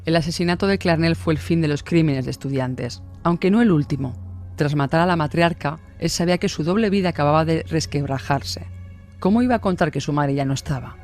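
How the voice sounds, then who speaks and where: A man's voice: a little high, brisk, a little mellow, soft background music, close to an everyday voice. A man reading scripted true-crime narration in a radio drama.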